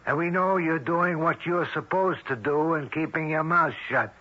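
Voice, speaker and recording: An actor playing a menacing, bossy Italian-American mobster in an old-time radio drama, with streetwise slangy American speech. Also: male voice, on the high side, normal speed, pushed and bright. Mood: commanding. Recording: muffled vintage radio broadcast.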